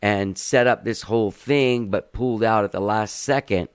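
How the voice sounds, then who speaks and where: A man's voice: lowish voice, moderate pace, clear, resonant tone, no echo. A man hosting a podcast in casual chat, talking loosely with plenty of filler words. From Cuban family. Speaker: podcast host.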